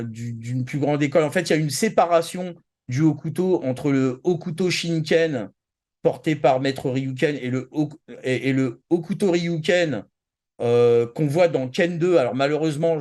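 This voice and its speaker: A man's voice: mid-range voice, a little slow, a little mellow. A man co-hosting a pop culture show in casual, slangy chat, often hesitating and searching for his words. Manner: rambling, hesitant, word-searching; informal slangy talk. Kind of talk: casual chat about anime and manga.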